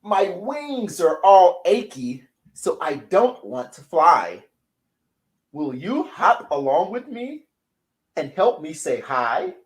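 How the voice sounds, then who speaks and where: A man voicing a sick, stuffy-nosed little horsefly who is gentle and sweet, reading a children's picture book aloud in a hoarse, sniffly voice. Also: sits high, very animated, takes it slowly, exaggerated voice.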